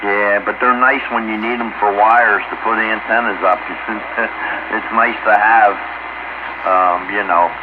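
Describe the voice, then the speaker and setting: Low-voiced man on a noisy radio. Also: fairly even intonation, measured pace. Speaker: amateur radio operator. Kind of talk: casual radio chat. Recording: muffled radio transmission, heavy static.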